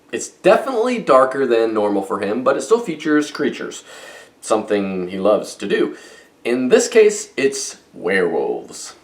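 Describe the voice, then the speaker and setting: Male book vlogger, mid-pitched and highly varied in intonation, semi-scripted delivery with playful, wry asides. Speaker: book reviewer and vlog host. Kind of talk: semi-scripted review talk to camera.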